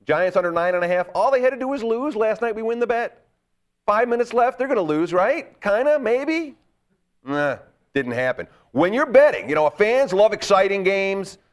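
Gravelly, animated sports betting host man. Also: high-pitched. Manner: animated, talks up his bets with quick asides. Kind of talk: casual sports betting talk.

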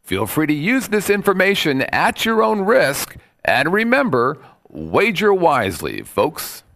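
A man's voice: fairly high pitch, wildly swooping pitch, measured pace, vocal fry, fairly bright tone. A man reading a scripted disclaimer at the start of a show in a breezy, folksy way. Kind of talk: scripted announcement.

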